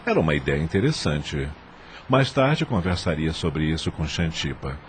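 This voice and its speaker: A man's voice: rumbling low, animated, moderate pace, a little hiss or hum. A man reading scripted narration for a dramatized audio novel.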